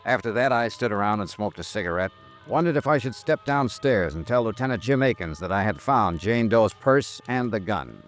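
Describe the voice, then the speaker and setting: A man acting a cool, terse insurance investigator in a 1950s American radio drama, narrating in a clipped, deadpan way. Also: on the low side, normal speed, lightly acted. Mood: wry, matter-of-fact. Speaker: lead actor and narrator. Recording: old radio recording with music.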